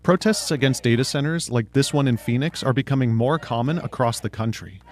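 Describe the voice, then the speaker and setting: A man's voice: mid-range voice, brisk, some chatter behind. A man reading a scripted radio news report as a reporter.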